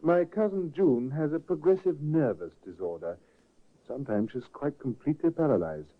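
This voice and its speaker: A courteous, solicitous nephew. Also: male voice, fairly high pitch, animated, moderate pace. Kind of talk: 1950s radio drama acting. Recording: tinny restored old radio broadcast.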